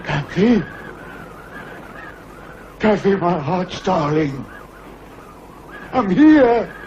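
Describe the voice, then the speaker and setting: An actor playing a brooding, tormented master of the house in a radio drama, harsh and commanding. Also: male voice, medium pitch, slight background noise, close to an everyday voice. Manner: harsh and commanding, yearning when alone. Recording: dull, lo-fi radio recording.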